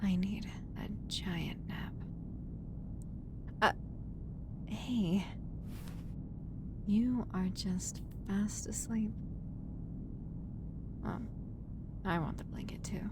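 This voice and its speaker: A woman playing a caring human medic on an alien spaceship in a roleplay audio drama, with a gentle, hesitant, fussing manner. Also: drawn-out words, pauses often. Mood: sleepy, affectionate. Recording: noisy audio with low rumble.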